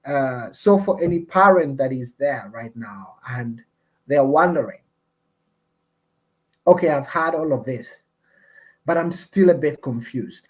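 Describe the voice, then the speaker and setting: A man hosting an online discussion over a video call, speaking in an earnest, appreciative and measured way. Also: fairly high pitch. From Uganda. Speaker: host and community representative.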